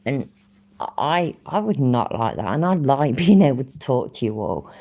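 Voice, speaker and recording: A woman's voice: rumbling low, sing-song, normal speed, mellow. A woman with an English Midlands accent, chatting casually to her live-stream audience; she rambles, swears freely and laughs often.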